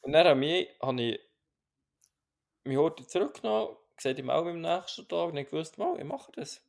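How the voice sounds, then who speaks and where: Gravelly Swiss German man, calm. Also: fairly high pitch, moderate pace. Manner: calm, searching, many restarts. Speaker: podcast co-host. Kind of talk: casual reflective chat.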